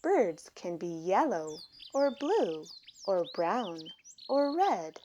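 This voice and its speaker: A woman reading a children's picture book aloud, with deliberate, expressive phrasing for young children. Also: medium pitch, muffled recording, a little hiss or hum. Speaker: storybook reader.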